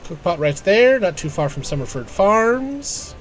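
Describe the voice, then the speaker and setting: A man with a fairly high voice gives casual live commentary as he plays a game. He mutters wry asides to himself. Soft game music sits behind him. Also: big pitch swings, measured pace. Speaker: video game streamer.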